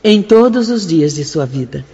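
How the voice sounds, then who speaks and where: An actress with a Brazilian accent playing a devout, solemn spirit sister in a radio drama, with measured, fervent declamation. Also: female voice, lowish voice, expressive, husky, heavily acted. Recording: dull radio drama mix under loud music. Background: music.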